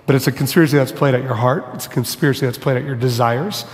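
A man preaching in a loose, conversational way, full of little restarts and fillers, his voice worn and slightly hoarse. His words carry with a long reverb through a church hall. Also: mid-range voice, quick pace. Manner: casual, self-deprecating, with fillers and restarts. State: losing his voice. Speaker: pastor. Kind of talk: sermon. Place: church sanctuary.

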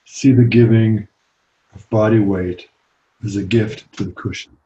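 A man leading a guided meditation as a teacher, speaking calmly and gently with long pauses. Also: fairly low pitch, highs cut off.

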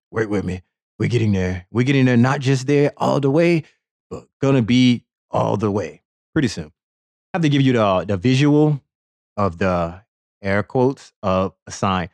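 A man's voice: lowish voice, a little mellow, no echo. A man hosting a sports podcast, telling a playful, boastful story in a rambling comedic monologue with lots of repetition.

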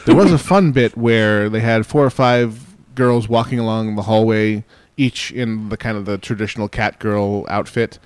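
Male co-host, low pitch, dry recording, loose joking chatter. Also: normal speed, clear, resonant tone, dry recording. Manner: joking, rambling with fillers. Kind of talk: casual group chat on a web show.

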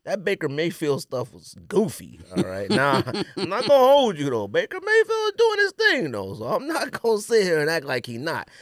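A man's voice: a little high, huge pitch swings, normal speed, hardly any pauses, no echo, studio quality. An American man hosting a podcast, chatting casually and jokingly in slangy speech about sports and sneakers. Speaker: podcast host.

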